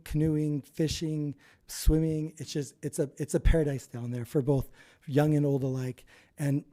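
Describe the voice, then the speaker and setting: A man with a hoarse, nervous voice gives a public comment, earnest and a little apologetic. His tone is very dark and mellow, set fairly high for a man. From Utah. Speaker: landowner giving public comment.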